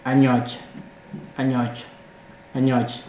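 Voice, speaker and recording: A man's voice: medium pitch, monotone, takes it slowly, dark tone. A man from South Sudan, a native Dinka speaker with a South Sudanese accent, hosts an informal language lesson, repeating words for learners. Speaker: language teacher and channel host. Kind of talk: informal video lesson addressed to viewers. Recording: echoey room, muffled sound, faint noise.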